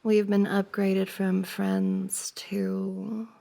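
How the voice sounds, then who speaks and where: A woman playing a giggly, teasing young woman confessing to her crush in an intimate ASMR roleplay, with a creaky voice. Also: medium pitch, completely flat delivery, very slow, dark tone, exaggerated voice. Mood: shy, cosy. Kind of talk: intimate ASMR roleplay, acted as one side of a conversation.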